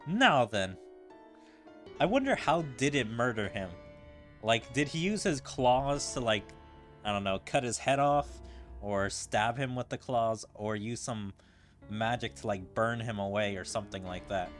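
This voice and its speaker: A man streams a game and comments casually as he goes. He rambles and thinks out loud at an ordinary middle pitch, with game music playing behind him. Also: animated, measured pace. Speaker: gaming streamer. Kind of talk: casual game commentary, reading dialogue aloud.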